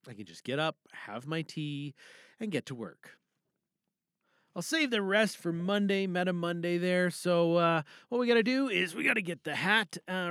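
A man's voice: high-pitched, fairly bright tone, smooth, no room sound, studio quality. A man hosting a podcast with casual, theatrical chat, rambling and showily talking himself through what he does. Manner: rambling, showy, talks himself through actions. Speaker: host of an audio drama podcast.

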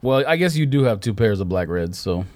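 A man's voice: on the low side, animated, fairly quick, a little mellow. An American man co-hosting a casual chat, blunt and sarcastic in a dry, offhand way. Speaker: podcast co-host.

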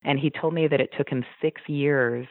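A man's voice: medium pitch, steady tone, normal speed, creaky, no room sound. A man being interviewed, fondly telling anecdotes about his late father. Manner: fond, anecdotal storytelling. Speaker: interview guest, child of a wrestler. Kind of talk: reminiscing interview answers. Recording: tinny phone line, dry.

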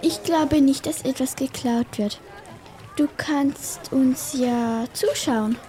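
A woman's voice: high-pitched, slow pace, very noisy. A young female performer playing an earnest, worried girl sleuth in a children's radio play. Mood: encouraging.

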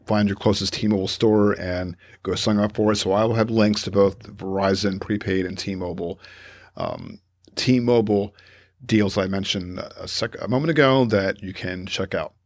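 A man's voice: on the low side, lively intonation, normal speed, no room sound, clean studio sound. A man hosting a casual podcast, rambling with many fillers and self-corrections and laughing often as he talks. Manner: laughs often while telling stories. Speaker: podcast host.

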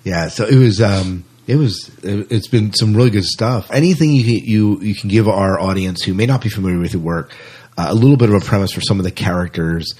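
Male podcast host, deep husky voice, casual and rambling, restarts often. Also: a little fast, a little mellow. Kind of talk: casual interview chat.

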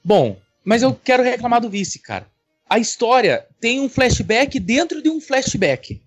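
A man's voice: extremely high pitch, sing-song, measured pace, slightly rough, dry recording, soft background music. A man with a Brazilian accent chatting casually about films on a podcast, blunt and opinionated, swearing freely. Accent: Brazilian Portuguese. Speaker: podcast panelist. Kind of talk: casual film chat.

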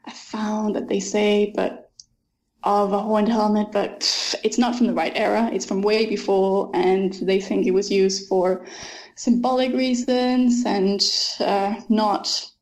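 A young woman with a middle-range voice talks about her writing in an interview. She answers thoughtfully and enthusiastically, often pausing on an um before she goes on. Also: mid-range voice, flat delivery, darkish tone, low-quality recording. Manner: thoughtful and enthusiastic, with frequent ums. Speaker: author being interviewed.

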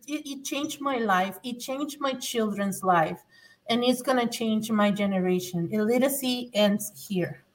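A woman's voice: a little high, fairly bright tone, distant mic, poor audio. A middle-aged woman from Mexico, earnestly telling her own story as a podcast guest, repeating words as she restarts sentences. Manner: earnest, emphatic, with frequent restarts. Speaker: guest, former adult learner. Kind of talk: personal testimony in conversation.

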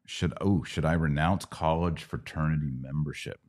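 A middle-aged American man with a very low-pitched voice hosts a casual teaching show. He explains things informally and didactically, in short conversational pieces. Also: normal speed, darkish tone. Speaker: podcast host. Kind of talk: live Bible Q&A, casual teaching.

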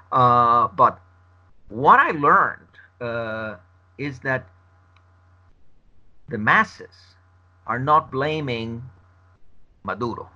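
A man whose first language is Spanish gives a long interview answer, arguing in a measured, emphatic way. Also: fairly low pitch, bright, forceful tone. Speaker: interview guest. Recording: dull lo-fi recording.

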